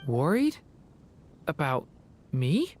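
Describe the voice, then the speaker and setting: A woman voicing a gentle, earnest young boy with a hesitant manner in a video game drama. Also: deep voice, very animated, extremely slow, slight background noise, heavily acted. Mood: apologetic, moved.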